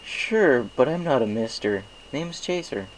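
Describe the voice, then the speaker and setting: A man's voice: medium pitch, lively intonation, a little slow, slight background noise, voice pushed up, natural voice, poor audio. A man voicing a modest, good-natured young pony with a casual, sheepish manner in a storybook reading. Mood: generous.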